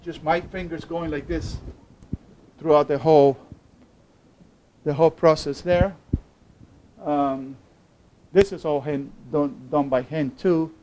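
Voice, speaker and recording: A man from Cuba with a Cuban accent informally presenting his own artwork in a halting, hesitant way. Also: a little high, fairly even intonation, mellow, slight background noise, rough recording. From Havana, Cuba. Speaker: artist.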